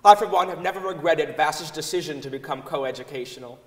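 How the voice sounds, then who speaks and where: A man's voice: a little high, quick pace. A man giving a scripted dramatic reading of a recollection from a concert hall stage. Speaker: dramatic reader. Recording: echoey hall, distant from the mic.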